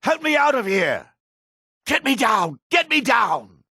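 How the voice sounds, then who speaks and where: An actor playing a jaded, sardonic male dark elf in a fantasy video game, with a husky voice. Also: very high voice, sing-song, measured pace, no room sound, clean studio sound, exaggerated voice. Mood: terrified. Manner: sardonic, disdainful. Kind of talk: video game character voice acting.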